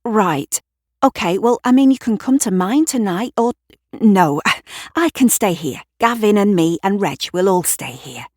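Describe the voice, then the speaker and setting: Audio drama neighbour , played by a woman: female, bossy, kind-hearted, insistent, voice pitched up, brisk delivery. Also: lively intonation, a little mellow, no echo, clean studio sound. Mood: brisk, caring. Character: Shirley, bossy, caring, nosy female neighbour.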